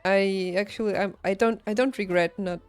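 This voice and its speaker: A woman game streamer chatting casually, thinking aloud haltingly and laughing easily, as if working out each thought while she talks. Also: medium pitch, fairly even intonation, clean tone. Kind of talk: casual livestream chat over gameplay. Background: game music.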